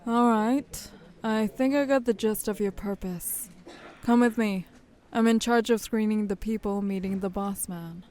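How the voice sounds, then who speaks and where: A woman's voice: mid-range voice, steady tone, unhurried, a little mellow, natural voice. A woman acting as a tough, sly, self-assured syndicate enforcer in an audio drama, with a taunting manner. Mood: brusque.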